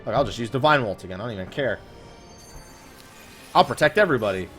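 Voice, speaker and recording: A man's voice: mid-range voice, expressive, fast, a bit bright, faint music behind. A man giving casual let's play commentary on a video game, swearing and griping with sarcasm. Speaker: host of a gaming let's play series.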